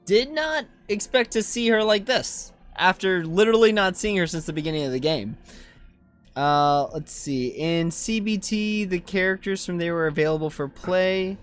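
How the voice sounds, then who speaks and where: A game streamer of unclear sex giving casual, low-key commentary and musing aloud. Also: normal speed, faint music behind.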